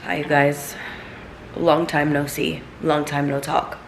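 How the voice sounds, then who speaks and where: Congested low-voiced woman chatting at home. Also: little pitch movement, slow, vocal fry. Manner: chatty, filler-heavy, talks straight to viewers. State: congested, recovering from illness. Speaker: vlogger. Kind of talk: casual chatty vlog. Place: home kitchen, then seated at home. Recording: echoey room with noticeable background noise.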